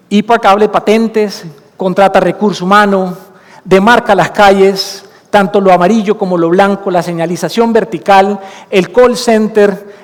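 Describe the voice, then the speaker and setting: A man with a Colombian accent giving an earnest conference talk, explaining his points and slowing down to stress the key ones. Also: sits high, a little mellow. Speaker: conference speaker. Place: conference hall stage. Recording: long hall reverb from a conference room.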